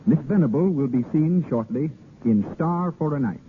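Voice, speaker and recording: A man's voice: a little high, animated, moderate pace. A man formally reading scripted announcements on a 1930s radio show, heard on an old broadcast recording. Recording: noisy, narrow-band 1930s radio recording.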